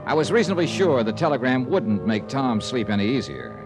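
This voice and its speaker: An actor playing a wry, dogged insurance investigator in a 1950s radio drama, narrating and wisecracking in a dry manner. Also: male voice, medium pitch, sing-song, a little fast, music in the background. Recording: dry old radio recording.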